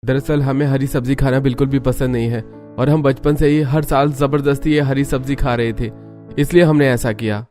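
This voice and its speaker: A man's voice: mid-range voice, steady tone, moderate pace, mellow, faint music behind, close to an everyday voice. A man playing a sheepish young husband in a cartoon, earnest and defensive in how he speaks.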